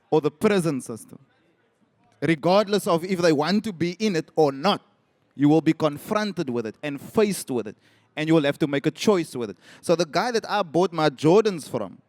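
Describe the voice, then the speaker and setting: High-voiced South African man, wry. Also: dry recording. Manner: animated, wry, rises in pitch when stressing points. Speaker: panel guest. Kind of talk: casual storytelling on a panel.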